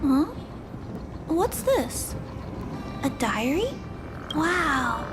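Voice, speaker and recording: An earnest little girl in a game, with a high, soft voice. She sounds gentle and thoughtful, full of curiosity, over loud music. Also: sing-song, extremely slow, full character voice. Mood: curious, puzzled. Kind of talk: video game voice acting.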